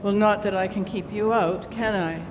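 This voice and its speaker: A woman's voice: mid-range voice, steady tone, natural voice. A woman playing a worldly, defiant saloon keeper in an old-time radio drama, with a wry, folksy manner. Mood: guarded, sardonic. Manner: folksy, drawn-out, sardonic. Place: live stage staged as a radio studio. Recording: reverberant hall with clear background noise.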